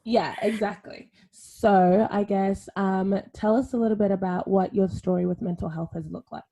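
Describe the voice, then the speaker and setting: A woman's voice: medium pitch, steady tone, normal speed, warm tone, no room sound. A woman hosting a podcast, friendly and chatty with many fillers. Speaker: podcast host. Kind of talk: casual podcast chat.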